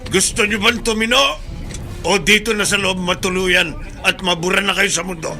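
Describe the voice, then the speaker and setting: A stern Filipino prison guard in a radio drama, threatening and scolding inmates. His voice sits high for a man, and loud music plays behind him. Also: steady tone, normal speed.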